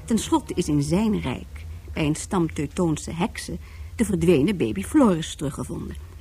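A woman narrates a scripted radio play. Her voice is slightly low, very husky and mellow, with lively intonation. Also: normal speed, soft background music. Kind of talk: scripted science fiction radio drama narration.

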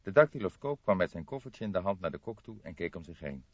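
A man's voice: low-pitched, sing-song, fast, no room sound, muffled recording. A man reading a detective novel aloud as scripted audiobook narration.

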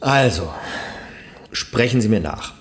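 A man's voice: lowish voice, animated, slow. A man playing an eager, pedantic enthusiast who coaxes and lectures persuasively in a conversational radio drama. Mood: coaxing, eager. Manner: coaxing, lecturing.

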